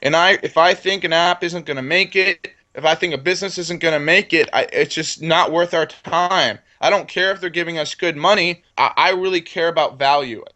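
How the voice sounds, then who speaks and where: Young male entrepreneur in his twenties, confident and self-assured, somewhat high voice, stumbles over his sentence openings. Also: normal speed, hardly any pauses, bright, forceful tone. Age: 20s. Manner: confident, repeats words when starting sentences. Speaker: entrepreneur guest. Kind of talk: casual interview talk.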